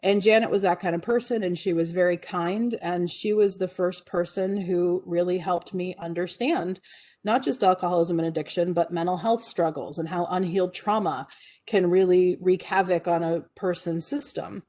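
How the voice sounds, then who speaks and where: Woman in her 40s telling her story, kinda low warm voice, lots of um and restarts. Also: fairly even intonation, moderate pace. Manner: earnest, reflective, with frequent fillers and restarts. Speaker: guest speaker. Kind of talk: personal storytelling talk.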